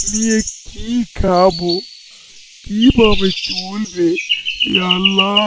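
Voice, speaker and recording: An actor playing a poor, devout, worried fisherman father in an Islamic story cartoon, pleading and sorrowful. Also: high voice. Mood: despairing. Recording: very noisy, birdsong and insects behind.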